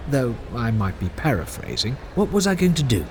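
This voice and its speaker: A man's voice: medium pitch, wildly swooping pitch, normal speed, darkish tone, slight background noise. A man playing a glib, needling diplomat in an audio drama, theatrical and persuasive, an estranged old friend. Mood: glib, exasperated. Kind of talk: full-cast audio drama acting. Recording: dramatic music under the voice.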